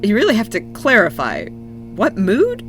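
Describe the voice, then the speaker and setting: A woman's voice: mid-range voice, huge pitch swings, normal speed, over music. A woman playing a practical young mechanic in an audio drama, dry and sarcastic. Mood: wry. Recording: faint hum and noise.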